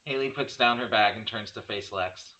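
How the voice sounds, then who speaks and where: A man's voice: on the low side, normal speed, pushed and bright, rough recording. A man reading stage directions as narrator of a horror screenplay table read.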